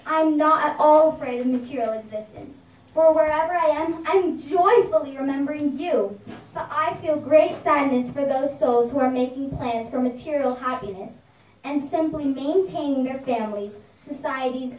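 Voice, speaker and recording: In a roomy, echoing space, a high, earnest voice speaks as a saintly child played by a woman in a puppet show. The child is reverent and measured, praying with devotion and compassion. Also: darkish tone, close to an everyday voice. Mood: pleading, devoted. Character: devout, joyful, compassionate saintly child praying to his Lord. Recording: echoey room, distant microphone.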